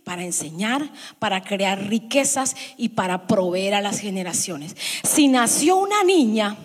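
A woman's voice: medium pitch, husky, long reverb tail. A middle-aged woman preaching a sermon to her congregation, emphatic and fond of repeating her lines.

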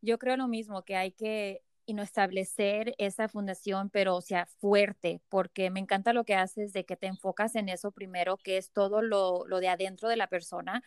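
A woman hosts a conversational interview with an eager, effusive manner, circling back to repeat her points. Her voice sits at a middle pitch and sounds dry and close to the mic. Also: fairly even intonation, normal speed, hardly any pauses, smooth, no echo. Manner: enthusiastic, effusive, repeats phrases. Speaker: podcast host.

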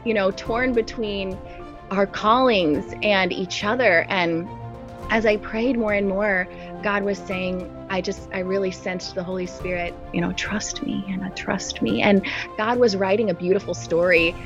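Heartfelt soft-spoken female guest. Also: medium pitch, steady tone, measured pace, muffled recording, over music. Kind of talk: interview answers.

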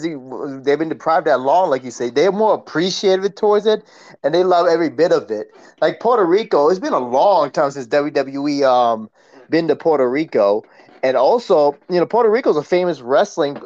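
A man with a somewhat high voice chats as co-host of a wrestling podcast. His delivery is casual and slangy, the way fans recap a show. The recording is a little dull and lo-fi. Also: darkish tone.

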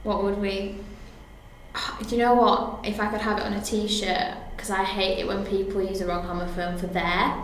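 A woman's voice: medium pitch, little pitch movement. A woman chats freely as a podcast guest, tumbling through fillers and restarts. Her voice rings out in a heavily echoing room with a faint hiss beneath. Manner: chatty, lots of fillers and restarts, quick to laugh. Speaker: guest copywriter. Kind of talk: casual podcast interview. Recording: very echoey room.

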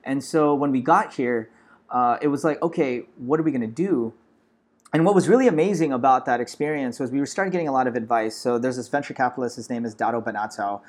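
American man in his forties, casual interview guest, relaxed storyteller, lots of fillers. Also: mid-range voice, fast talker. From Los Angeles, USA. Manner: relaxed, many fillers like "you know" and "uh". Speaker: guest, startup founder.

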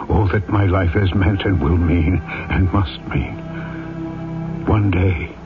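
An actor playing a grave, compassionate and resolute fugitive in an old-time radio drama, speaking solemnly, formally and earnestly. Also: male voice, low-pitched. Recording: tinny, narrow-band old radio recording.

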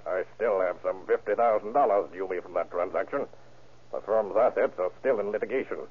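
Stiff, low-voiced proud major. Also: male voice, fairly quick, breathy edge. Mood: indignant, aggrieved. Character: proud, formal retired major, middle-aged father. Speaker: actor in a radio crime drama. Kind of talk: old-time radio crime drama acting. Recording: noisy, narrow vintage radio recording.

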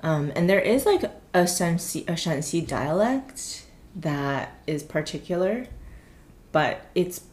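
A woman's voice: on the low side, expressive, takes it slowly, a little mellow. A woman from China, a guest in a casual chat, who talks in a chatty, thoughtful way with frequent fillers.

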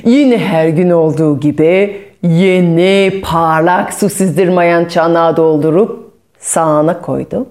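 A woman storyteller narrating a fairy tale expressively, with dramatic pauses and playful sound words. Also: lowish voice, darkish tone.

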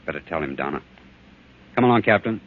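Deep-voiced, grave doctor. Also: male voice, expressive, fast. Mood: calm, reassuring. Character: calm, grave, authoritative ship's doctor. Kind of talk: 1940s radio drama acting. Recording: dull, hissy old radio recording.

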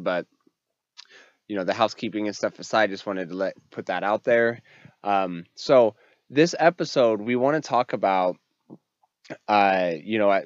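A man's voice: lowish voice, dry recording, highs cut off. A pastor hosting a faith podcast, a man who is sick with a congested, nasal voice and rambles with many fillers and restarts. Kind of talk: casual faith podcast talk.

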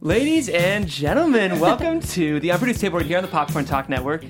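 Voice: medium pitch, expressive, fairly quick, music in the background. Upbeat chatty podcast host. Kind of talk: hosting a table read and reading stage directions.

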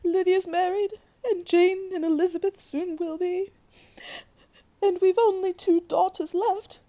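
A woman's voice: very high-pitched, steady tone, a little slow, dark tone. A woman playing a fretful, tearful middle-aged wife and doting mother in a melodramatic radio play. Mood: tearful wailing. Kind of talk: 1940s radio acting.

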